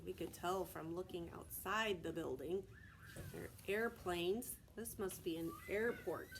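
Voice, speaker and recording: Woman, American, preschool teacher, children's read-aloud, simple child-directed phrasing, medium pitch, frequent questions, background noise. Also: darkish tone, rough recording. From Texas, United States.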